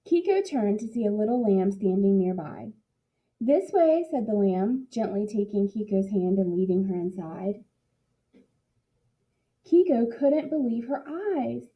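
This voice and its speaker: A woman narrating a picture book for children in an expressive read-aloud style, like a teacher in class. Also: mid-range voice, unhurried, highs cut off.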